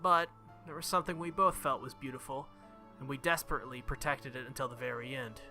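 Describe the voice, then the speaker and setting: A man's voice: medium pitch, fast. A man hosting a game playthrough, reading the story aloud dramatically with casual reactions and the odd stumble over words. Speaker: let's play host reading a visual novel aloud. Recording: loud game music behind the voice. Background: game music.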